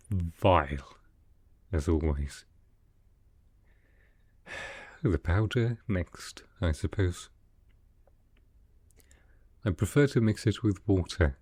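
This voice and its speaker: A man performs a sickly, melancholy male aristocrat in an intimate audio drama. The voice is very deep and dark, recorded dry and close. He speaks slowly and softly, with formal, proud reserve. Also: animated, slightly rough, dry recording, exaggerated voice. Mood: weary, wry. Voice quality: low, soft, with a cough. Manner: formal, measured, dryly reserved. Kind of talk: roleplay ASMR audio drama.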